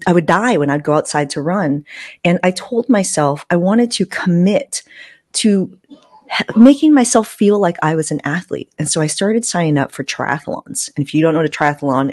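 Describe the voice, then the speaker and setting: A woman's voice: fairly low pitch, darkish tone, no room sound. A woman, telling personal stories casually, like a podcast host on a close microphone. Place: small room indoors, video call. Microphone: close podcast microphone with fluffy windscreen.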